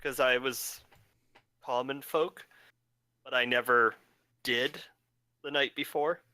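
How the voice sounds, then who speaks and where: Bright-toned man explaining on a video call. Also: medium pitch, fairly even intonation, slow, dry recording. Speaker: tabletop game player. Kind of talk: casual out-of-character chat.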